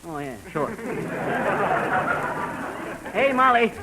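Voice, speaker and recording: A man's voice: sits high, animated, very slow, a loud crowd, full character voice. An actor playing a boastful, bumbling middle-aged man in a 1940s radio comedy, folksy and slangy. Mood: boastful, cocky. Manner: folksy slangy bragging with chuckles. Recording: noisy vintage 1940s radio recording.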